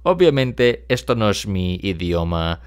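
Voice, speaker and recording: A man's voice: on the low side, very animated, smooth. A young man whose first language is English, teaching a music tutorial in careful, hesitant Spanish with fillers, speaking to a desk microphone in his small home studio. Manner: unhurried, hesitant non-native Spanish with fillers. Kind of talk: casual tutorial to camera. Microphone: desk microphone in front.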